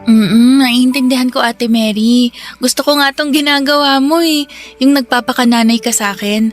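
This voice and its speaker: A woman's voice: sits high, moderate pace, faint music behind, close to an everyday voice. A woman playing an affectionate, grateful young woman in a radio drama, speaking earnestly and tenderly. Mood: wistful. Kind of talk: Tagalog radio drama dialogue.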